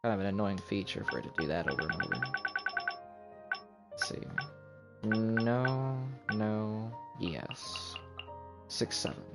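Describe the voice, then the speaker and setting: A man's voice: fairly low pitch, animated, slow, pauses often. A man streaming a video game with casual live commentary, muttering to himself as he goes. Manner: muttering to himself, counting aloud. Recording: music playing behind the voice. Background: game music.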